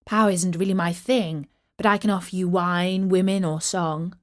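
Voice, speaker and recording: A woman's voice: fairly low pitch, steady tone, moderate pace, lightly acted. A woman voicing a breezy, generous male god of wine in a declamatory, assured storybook reading. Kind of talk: children's storybook character reading.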